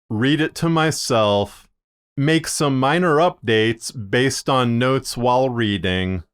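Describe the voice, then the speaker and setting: A man narrating a scripted video as a podcaster, speaking in measured, deliberate phrases with short pauses. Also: mid-range voice, clean tone. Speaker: podcaster and fiction narrator presenting a video series.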